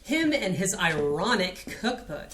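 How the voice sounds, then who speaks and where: A woman's voice: mid-range voice, very animated, a little slow, a bit bright, a little hiss or hum. A woman playing a supportive, admiring dinner guest in a scripted staged reading. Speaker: actor in a staged play reading. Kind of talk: scripted community theatre acting. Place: indoor playhouse staged reading. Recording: echoey room.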